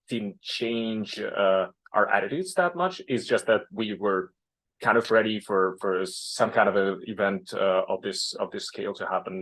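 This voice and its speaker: A man's voice: fairly low pitch, fairly bright tone, poor audio. A man interpreting into English at a panel, hesitant and rephrasing as he goes. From Russia. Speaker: interpreter, English-language editor. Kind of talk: interpreting aloud at a public panel.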